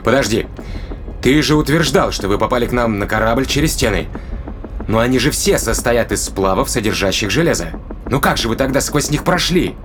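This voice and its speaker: A man's voice: medium pitch, lively intonation, brisk, background noise, lightly acted. A man playing a tough, sardonic spaceship crewman in an audio drama, questioning a captive in pointed, colloquial speech. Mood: puzzled, pressing.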